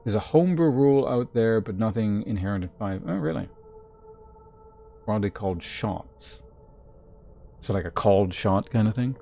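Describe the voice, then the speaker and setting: A man streaming a game, chatting casually with viewers and thinking aloud in a halting way. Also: fairly low pitch, mellow, soft background music. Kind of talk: casual live-stream chat.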